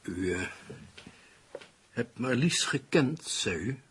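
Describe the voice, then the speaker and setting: An actor playing a grieving middle-aged father in a radio drama, formal and halting. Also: mid-range voice, lively intonation, fairly bright tone, a little hiss or hum. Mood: courteous, wary. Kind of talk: Dutch radio drama acting.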